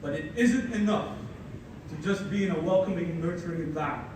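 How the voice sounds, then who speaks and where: A young man from the Philippines, a native Tagalog speaker, delivers a playful, energetic keynote with a fairly high voice. The sound carries heavy hall echo, is picked up from some distance, and has clear room noise behind it. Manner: energetic, playful storytelling with dramatic pauses. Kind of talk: ceremonial keynote speech. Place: large hall at a ceremony. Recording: echoey hall, mic far off, audible room noise.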